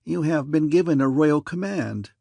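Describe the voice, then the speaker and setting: A man's voice: a little high, expressive, normal speed, vocal fry, warm tone, no room sound, voice pushed up. A man doing an adenoidal, flustered royal courtier. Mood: officious. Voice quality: adenoidal, nasal.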